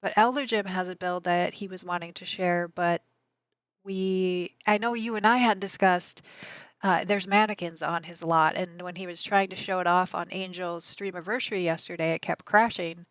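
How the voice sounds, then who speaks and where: A woman streamer with a slightly raspy voice, chatting casually and answering her viewers. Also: lowish voice, fairly even intonation, moderate pace, no echo. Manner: rambling, chatty, answers chat messages aloud. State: allergies. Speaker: game streamer.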